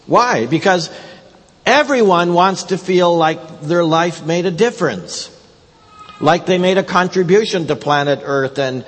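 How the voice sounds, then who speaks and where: Korean-native pastor preaching in a reverberant hall. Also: male voice, on the high side. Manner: halting, many uh fillers and repeated words. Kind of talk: sermon. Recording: long hall reverb from the sanctuary.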